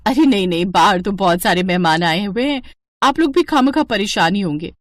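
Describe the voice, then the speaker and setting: A status-conscious wealthy wife from a moral cartoon, acted by a woman. She is falsely polite and full of excuses, in a mid-pitched voice with very little room sound. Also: animated, fairly quick, dry recording, lightly acted. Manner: placating, excuse-making.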